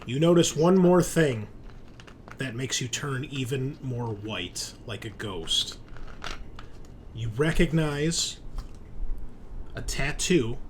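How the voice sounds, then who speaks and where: A man hosting as game master, improvising narration and chat in a deliberate, suspenseful storyteller's way. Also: mid-range voice, expressive, a little hiss or hum.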